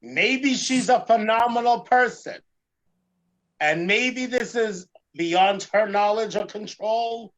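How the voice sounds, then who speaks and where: A man hosting a talk show as a comedian and drag performer, ranting emphatically in a theatrical way with a gravelly edge and repeating phrases for effect. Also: high voice, slow pace, fairly bright tone. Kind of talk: animated talk show rant.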